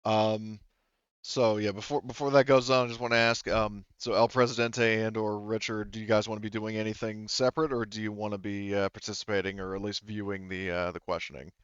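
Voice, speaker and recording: Low, smooth man explaining game rulings. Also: little pitch movement, a little fast, no room sound, highs cut off. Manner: explanatory, hedging with asides. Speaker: game master. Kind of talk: casual online tabletop roleplaying discussion.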